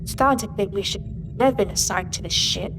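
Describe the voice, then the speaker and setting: A woman's voice: mid-range voice, brisk, music in the background, lightly acted. A woman playing a weary, blunt starship master chief in a sci-fi audio drama, with a dry delivery. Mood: grumbling.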